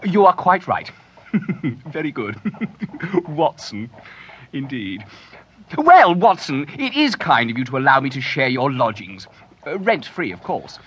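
An actor playing a manic, absent-minded, boastful Victorian detective with a husky voice and theatrical, excitable delivery in a comic audio parody. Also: male voice, mid-range voice, a little slow, pauses often, lo-fi sound, exaggerated voice. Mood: befuddled, ingratiating.

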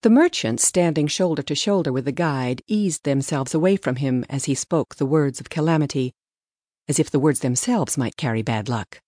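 Low-pitched woman narrating a story. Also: moderate pace, dark tone, no room sound, studio quality. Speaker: audiobook narrator.

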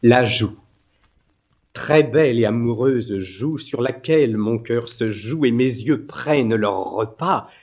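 A man's voice: mid-range voice, slow pace, darkish tone. A man reciting literary poetry in a declamatory, lyrical and theatrical way. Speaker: poetry reader.

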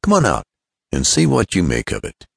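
A man's voice: deep voice, huge pitch swings, moderate pace, creaky, fairly bright tone, slightly rough, no room sound, clean studio sound, pitched above the performer's own voice, heavily acted. A man voicing an earnest, confident young male inventor in an adventure storybook, explaining things keenly. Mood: confiding. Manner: explanatory, keen.

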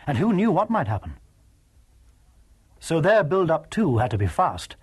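A man giving scripted, dramatic historical narration in a measured, emphatic manner with a husky voice. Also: on the high side, darkish tone.

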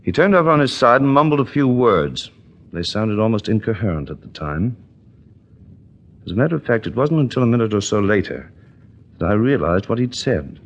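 A man's voice: on the low side, very animated, a little fast. An actor playing an earnest, emphatic British chief inspector, deferential to his superior, in a 1940s radio drama. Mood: recounting. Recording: dull, muffled 1940s radio recording.

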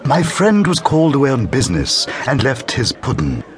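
Casting courteous top-hatted gentleman stranger for radio drama, mid-pitched with hugely varied intonation, genteel. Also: huge pitch swings, normal speed, background noise. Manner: polite, genteel.

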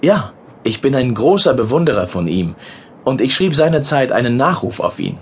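Radio play: chatty, well-mannered male correspondent, acted by a man, animated intonation, light ambient noise. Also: medium pitch, normal speed, lightly acted. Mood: chatty, eager. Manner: hesitant, apologetic, slipping into English words. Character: talkative, polite, outspoken American male war correspondent. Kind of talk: audio drama dialogue.

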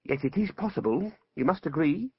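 Bitter, high-strung exiled wizard played by a man. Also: expressive, fairly quick, breathy edge, highs cut off. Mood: defiant, bargaining. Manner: impassioned, indignant, snarling. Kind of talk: audiobook character voice.

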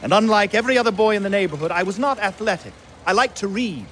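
A man's voice: sits high, animated, fairly bright tone, a little hiss or hum. An actor playing a grown man fondly recalling his boyhood, narrating in a wry, literate way. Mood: wry, nostalgic. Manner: measured, wry, literate storytelling. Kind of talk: first-person scripted narration in audio drama.

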